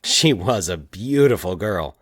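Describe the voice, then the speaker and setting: A man's voice: lowish voice, expressive, a little hiss or hum. A man playing a wistful young man in an audio drama, earnest and hesitant in manner. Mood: wistful, musing. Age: young adult. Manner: halting, earnest.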